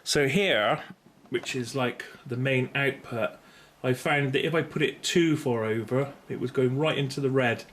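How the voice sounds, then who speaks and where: A man's voice: medium pitch, unhurried, few pauses, a bit bright. British man rambling casually, noisy recording. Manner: rambling, tentative, self-correcting. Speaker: video host reviewing gear. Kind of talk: casual unscripted product review. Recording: clear background noise, room ambience.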